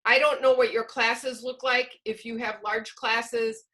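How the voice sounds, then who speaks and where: A woman trainer with a fairly high, bright voice runs an online workshop. She projects loudly and explains things in an informal, encouraging way. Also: little pitch movement, measured pace. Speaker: workshop presenter, teacher trainer. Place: online video call.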